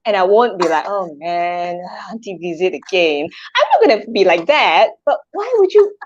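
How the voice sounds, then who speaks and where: A chatty Malaysian woman in her thirties, talking easily as a guest on a video call. Her voice sits a little low and sounds dry and dull, like a lo-fi line. She laughs often and throws out rhetorical questions. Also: very animated, moderate pace, dry recording. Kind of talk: casual chat.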